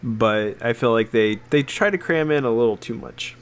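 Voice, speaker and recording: Male podcast co-host, average pitch, conversational and opinionated, builds points in fragments, slight background noise. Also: moderate pace. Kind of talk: casual opinionated chat.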